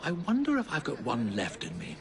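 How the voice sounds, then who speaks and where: A man acting as a wry, theatrical Victorian gentleman novelist and amateur sleuth in a video game, with literary phrasing. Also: medium pitch, wildly swooping pitch, fairly quick. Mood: wry, reflective.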